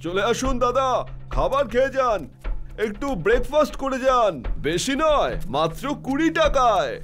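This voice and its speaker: A man playing a wheedling, scheming roadside eatery owner with salesman-like patter in an animated horror story. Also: very high-pitched, normal speed, faint music behind, heavily acted. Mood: coaxing.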